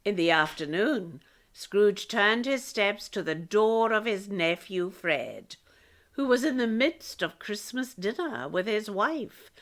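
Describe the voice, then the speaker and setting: A woman's voice: medium pitch, expressive, takes it slowly. A woman reading scripted storybook narration for a radio play. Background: loud music.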